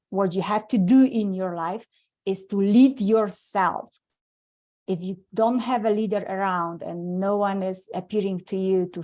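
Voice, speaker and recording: A woman's voice: medium pitch, darkish tone. A middle-aged woman from Poland with a Polish accent, telling her life story earnestly as an interview guest, often restarting her sentences. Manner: earnest, with frequent restarts and non-native grammar. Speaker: guest speaker.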